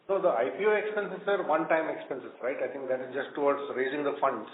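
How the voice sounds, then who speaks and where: A man's voice: fairly high pitch, expressive, fast, phone-quality sound. A man answering analysts as a company executive on an earnings call, explaining things emphatically. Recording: echoey phone conference line.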